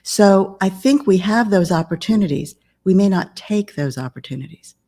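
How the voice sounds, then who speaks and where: A woman in her fifties, a business coach and author, speaking calmly and in a measured way as a podcast guest. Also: medium pitch. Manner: calm, measured. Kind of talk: podcast interview conversation.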